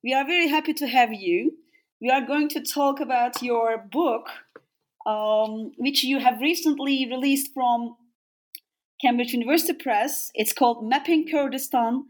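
A woman hosting a podcast interview, asking measured questions with occasional hesitations. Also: on the high side. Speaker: podcast host.

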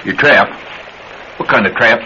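An actor with a Southern US accent playing a cocky, menacing young escaped killer in a radio drama, folksy and taunting. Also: male voice, on the low side, measured pace, bright, forceful tone. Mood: folksy, casual. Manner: folksy drawl, taunting and boastful. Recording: noisy, dull old radio drama recording.

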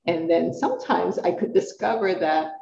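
A middle-aged woman from Puerto Rico, a professor answering interview questions in a thoughtful, measured way with pauses and restarts. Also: fairly low pitch, lively intonation, gravelly, warm tone, echoey room, lo-fi sound, slight background noise. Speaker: guest, English professor.

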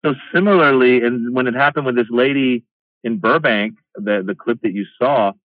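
A man's voice: mid-range voice, normal speed, no room sound. Middle-aged man from Iran on a tinny line. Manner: animated, acts out quoted exchanges. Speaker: stand-up comedian, guest. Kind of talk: casual interview storytelling. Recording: tinny phone-like line, dry.

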